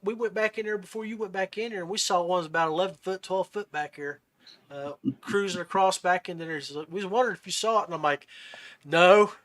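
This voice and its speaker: A man's voice: sits high, pushed and bright. A man hosting a casual fishing podcast, telling folksy, rambling stories. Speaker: podcast host.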